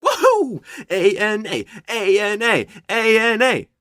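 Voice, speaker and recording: A woman playing an excitable, cheerful young monster gamer in an audio roleplay, eager and supportive. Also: medium pitch, slow pace, no room sound, clean studio sound. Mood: excited. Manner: eager, enthusiastic.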